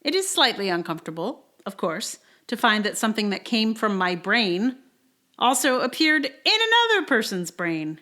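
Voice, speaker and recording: A woman's voice: fairly high pitch, sing-song, moderate pace, bright, forceful tone. A woman in her forties hosting a podcast in a conversational, wry way, recorded on a travel mic in a slightly echoey house. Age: 40s. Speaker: podcast host reading own blog post. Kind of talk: casual intro, then read-aloud personal essay. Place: indoors in a sparsely cushioned house. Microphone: portable travel microphone.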